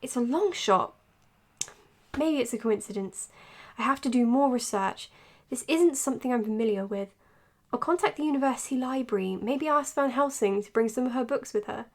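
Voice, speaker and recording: A woman playing an earnest, dutiful psychiatrist in an audio drama, speaking in a measured, precise, professional way. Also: a little high. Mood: measured, thoughtful. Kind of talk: audio drama acting as spoken case notes and dialogue.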